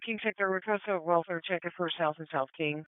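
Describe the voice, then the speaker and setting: A man's voice: high-pitched, fairly quick, smooth, dry recording. A man working as a police dispatcher, giving terse dispatch calls over a two-way radio. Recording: tinny narrowband police radio scanner audio.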